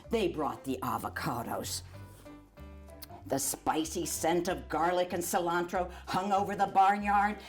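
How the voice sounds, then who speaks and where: Older lady doing storytime for kids, really slow pace, chatty asides, bit of quiet music. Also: medium pitch. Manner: animated, chatty, pointing things out to young listeners. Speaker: volunteer storyteller. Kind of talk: children's storybook read-aloud with asides.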